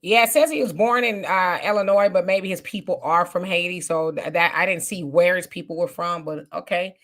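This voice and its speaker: Bright animated woman host. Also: fairly low pitch, fairly quick. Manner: animated, mocking voices when quoting others. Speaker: host reading viewer comments. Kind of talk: casual livestream commentary.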